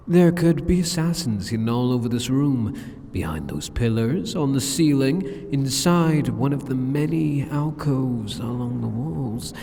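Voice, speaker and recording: Man playing a slow, sadistic demon king, cavernous reverb. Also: mid-range voice, vocal fry, mellow, a little hiss or hum. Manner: slow, mocking, condescending, teasing. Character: sadistic, arrogant male demon king taunting a captive hero. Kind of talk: ASMR roleplay acting. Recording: long cavernous hall reverb.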